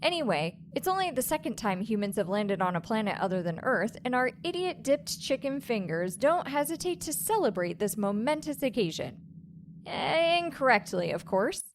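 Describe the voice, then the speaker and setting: A woman's voice: fairly high pitch, very animated, normal speed, slight background noise. A woman playing a sarcastic, mocking narrator of a sci-fi comedy audio drama. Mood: amused, mocking. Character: snarky female narrator who scorns the characters.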